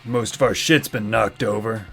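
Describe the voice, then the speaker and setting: A man playing a gravelly, blunt young bro. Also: on the low side, moderate pace, a bit bright, soft background music, exaggerated voice. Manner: blunt, swearing, sardonic. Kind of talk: fan audio drama acting.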